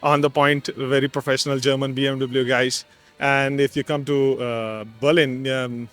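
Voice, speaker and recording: A man's voice: medium pitch, little pitch movement, moderate pace, a bit bright, faint music behind. A man answering a street interview, hesitant and searching for words with many fillers.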